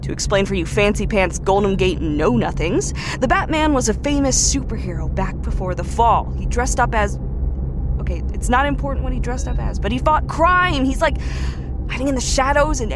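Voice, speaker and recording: A woman's voice: medium pitch, natural voice. Woman playing a brash geeky scout over vehicle noise. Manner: excitable, slangy, sarcastic, rambling. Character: brash, geeky, foul-mouthed female post-apocalyptic scout. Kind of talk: audio drama log report. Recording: vehicle hum and noise behind voice.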